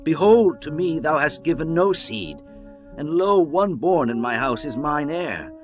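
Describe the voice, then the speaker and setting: An earnest ancient patriarch , as a man acts him, pleads in a somewhat high, animated voice that rises and falls. He sounds a little distant, with music behind. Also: a little slow. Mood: pleading. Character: aged patriarch pleading with his god, earnest.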